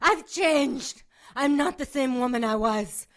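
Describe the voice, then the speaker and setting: A woman's voice: high-pitched, takes it slowly, a bit bright, exaggerated voice. A woman playing a defiant, protective succubus mother in an audio drama, impassioned and emphatic. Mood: desperate pleading.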